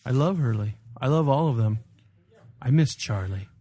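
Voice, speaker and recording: A man's voice: mid-range voice, expressive, moderate pace, warm tone, no echo. A man on a podcast panel, chatting casually in a joking, informal way. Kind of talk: casual chat.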